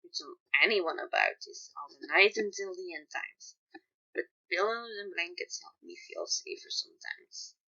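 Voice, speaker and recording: A woman's voice: on the low side, huge pitch swings, moderate pace, sharp tone, lo-fi sound, exaggerated voice. A woman voicing a guarded but caring young man with a dry, offhand, grudgingly kind manner in a story reading. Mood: guarded, reassuring.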